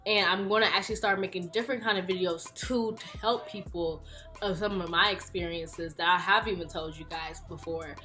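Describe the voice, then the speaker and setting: A woman's voice: fairly low pitch, fairly even intonation, brisk, bright, forceful tone, faint music behind. A woman in her early twenties, chatting casually and playfully through a question-and-answer vlog. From Houston, Texas. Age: 20s. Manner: chatty, playful, laughs often. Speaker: YouTuber.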